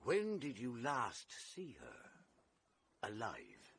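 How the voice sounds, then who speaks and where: An actor playing a ponderous English village police sergeant, politely insinuating, in a vintage radio drama. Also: male voice, mid-range voice, wildly swooping pitch, creaky, a bit bright, slight background noise, heavily acted. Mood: puzzled, probing. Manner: ponderous, deliberate, deferential yet insinuating.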